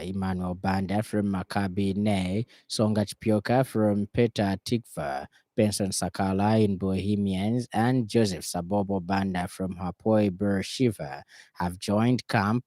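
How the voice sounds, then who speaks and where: A Zambian man reads the radio news from a studio. His voice is on the low side and notably mellow. He keeps a formal, measured delivery suited to a scripted bulletin. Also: no echo.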